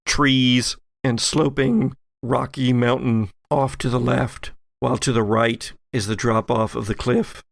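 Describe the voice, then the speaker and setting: A man narrating a tabletop game as game master, setting scenes cinematically in deliberate short phrases. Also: mid-range voice, fairly even intonation, creaky, no room sound, studio quality. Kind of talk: descriptive improvised narration for audio-drama tabletop game.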